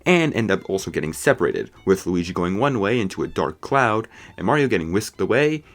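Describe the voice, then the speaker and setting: Low voiced guy doing a movie review, really animated up and down. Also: a little fast. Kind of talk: scripted video essay commentary.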